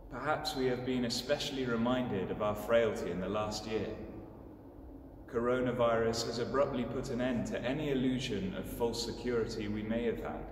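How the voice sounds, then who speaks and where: A young man delivering a scripted homily in a measured, solemn manner. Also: medium pitch. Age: young adult. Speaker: clergyman giving a homily. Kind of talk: scripted sermon. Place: inside a large stone church. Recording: distant, echoing church, clearly noisy.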